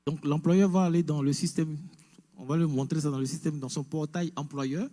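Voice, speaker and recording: A man presents an information session in a large, echoing hall. His voice sits a little high but sounds mellow. He explains each point step by step and drops English words into his French. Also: fairly even intonation, a little fast. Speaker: immigration presenter. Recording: echoey hall.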